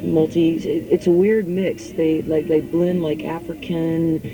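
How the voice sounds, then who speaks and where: A woman musician answering interview questions casually, in halting speech with frequent restarts. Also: deep voice, little pitch movement, over music, rough recording.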